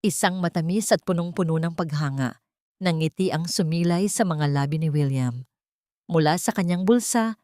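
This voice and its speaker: A woman reading a scripted romantic drama as narrator, in a sentimental, measured storytelling manner. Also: on the low side, a little mellow, dry recording, clean studio sound.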